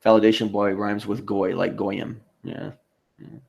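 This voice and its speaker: A man hosting a casual livestream, reading out chat comments and replying in a rambling, halting way. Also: on the low side, flat delivery, gravelly, a little mellow, husky. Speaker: live stream host.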